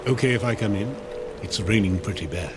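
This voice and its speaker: A man's voice: lowish voice, fairly quick, over loud music, lightly acted. An actor playing a sly, devilish door-to-door salesman in a radio drama, with glib, coaxing, theatrical sales patter. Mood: smug, goading. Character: sly devilish door-to-door salesman peddling a time-bending clock. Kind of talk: modern radio drama acting.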